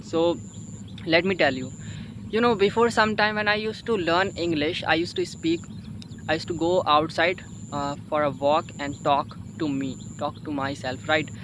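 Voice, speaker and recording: A Hindi-speaking man with an Indian accent giving informal English-learning advice in a halting, repetitive, emphatic way, often tagging phrases for agreement. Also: on the high side, background noise. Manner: halting, repetitive, emphatic, frequent "you know" and "okay" tags. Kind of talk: informal spoken advice to viewers.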